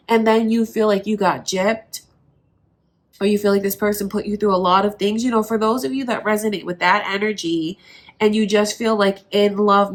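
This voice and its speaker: A woman with a stuffy, congested voice from allergies, giving a casual, reassuring tarot reading straight to the viewer. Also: mid-range voice. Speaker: tarot reader.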